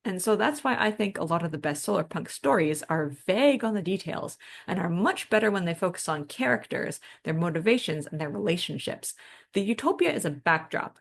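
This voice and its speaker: A woman's voice: on the low side, vocal fry. A woman co-hosting a discussion podcast, talking through ideas in a reflective, wordy and self-deprecating way. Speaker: podcast co-host.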